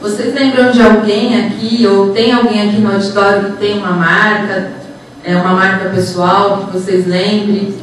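A woman's voice: medium pitch, level tone, moderate pace. Heard through heavy room echo and some noise, a woman talks to an auditorium. She is in her thirties and lectures informally on a handheld mic. She keeps it conversational, often tossing short check-in questions to the listeners. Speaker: psychologist and HR professional giving a lecture. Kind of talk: informal motivational lecture to students. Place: auditorium, speaking to an audience. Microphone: handheld microphone through room speakers, distant recording. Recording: echoey hall from far off, noisy.

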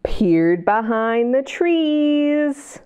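A middle-aged American woman hosting a library storytime, reading aloud to young children in an expressive, sing-song way and drawing them in with questions. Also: on the high side, extremely slow, smooth. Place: indoor library children's area. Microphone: lapel mic.

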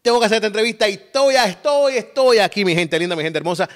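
Middle-aged Puerto Rican man, quick and playful podcast host, a touch high, tired. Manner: playful, joking, shouty bursts. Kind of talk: casual podcast interview. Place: purple-lit indoor studio set.

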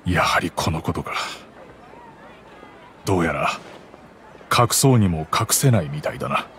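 A man's voice: low voice, expressive, measured pace, fairly bright tone, a little hiss or hum. A man playing a middle-aged wealthy father in an anime game, grave, formal and guarded, with outdoor ambience behind.

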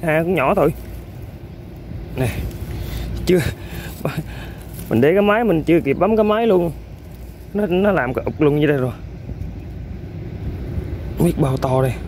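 A man with a Vietnamese accent hosting an outdoor eel-fishing vlog by a riverbank. He gives running commentary to viewers while he works, with excited exclamations. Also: a little high, normal speed, long pauses, a little hiss or hum. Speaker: eel-fishing channel host.

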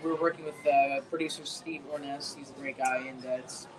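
A man's voice: mid-range voice, steady tone, fairly quick, crowd noise. A young man, a band singer, chatting casually in a laid-back way as an interview guest. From United States, born in Iran and moved at three. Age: young adult. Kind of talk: casual chat. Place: outdoor terrace by a beach. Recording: noisy outdoor recording.

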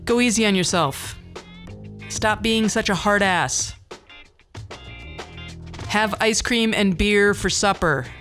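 A woman's voice: mid-range voice, takes it slowly, long pauses, a bit bright. Woman in her 50s, podcast host talking about her own life, wry and chatty, bit of music in there. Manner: candid, self-deprecating, wry. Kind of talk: personal monologue storytelling, conversational.

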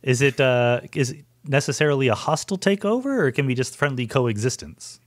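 A man's voice: mid-range voice, animated, a little fast. A man hosting a podcast interview, asking curious, engaged follow-up questions in a conversational way. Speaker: podcast host.

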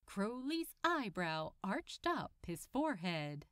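Woman, fiction narrator, unhurried, lively intonation, dry close recording. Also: medium pitch, clean tone, no echo. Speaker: podfic narrator.

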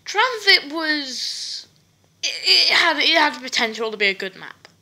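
A woman's voice: sits high, sing-song, measured pace, bright, forceful tone. A woman giving casual, slangy gaming commentary, brash and swearing now and then, with a cough that leaves her voice a bit off. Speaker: gaming video creator.

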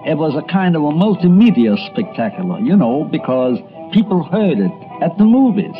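A man's voice: fairly high pitch, very animated, moderate pace. A man with an American accent narrating a scripted radio documentary. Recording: muffled, music underneath. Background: music.

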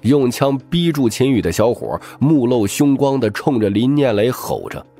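A man's voice: mid-range voice, animated, moderate pace, mellow, soft background music. A man narrating scripted fiction as a dramatic audiobook reader.

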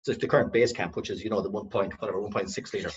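A husky, low-voiced Irish man in middle age speaks over a dull, lo-fi video-call line from a room at home. He rambles through his story and keeps restarting his sentences. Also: rapid-fire. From west of Ireland. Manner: rambling, self-correcting storyteller. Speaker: company director, guest. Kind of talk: casual video-call interview.

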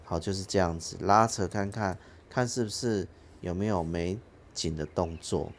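A man's voice: low-pitched, moderate pace, a little hiss or hum. A man hosting a fishing channel, explaining his rig step by step in a plain casual way outdoors at a harbour. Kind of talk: casual how-to narration.

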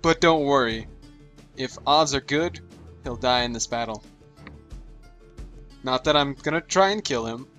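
A man casually commenting on a strategy game he is playing, joking and offhand, his voice slightly hoarse. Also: medium pitch, measured pace, pauses often, a bit bright, faint music behind. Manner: joking, offhand, talks to himself while playing. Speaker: gaming channel host. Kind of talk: casual let's play commentary.

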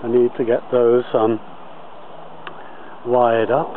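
Male amateur gardener, unhurried and hesitant tour narration, outdoor handheld camera sound, slightly dull. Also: mid-range voice, level tone. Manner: halting, pausing often mid-sentence. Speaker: allotment gardener filming a tour. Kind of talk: informal video tour commentary. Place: outdoors on an allotment. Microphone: handheld camera held by the speaker. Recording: muffled lo-fi camera audio, outdoor noise.

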